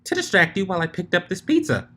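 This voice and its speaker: Man as a cartoon girl pony, high-pitched, bubbly, playful, teasing, bouncy. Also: very animated, fast, bright, forceful tone, heavily acted. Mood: giddy, playful. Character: hyper, cheerful pink female pony, playful prankster.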